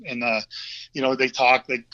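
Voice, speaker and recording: A man in his fifties with a Vermont accent, chatting easily as a podcast guest and often pausing with ums and restarts. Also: mid-range voice. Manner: relaxed, hesitant, many ums and restarts. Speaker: guest, former hockey player. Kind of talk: casual interview chat. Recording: dull lo-fi audio.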